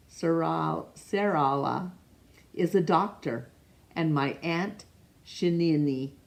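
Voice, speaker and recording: A woman's voice: low-pitched, gravelly. A woman reading a picture book aloud to children, stopping to ask the listener questions and now and then rereading a name. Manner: slow, gentle, asks the listener questions; stumbles over names and rereads them. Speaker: storytime reader.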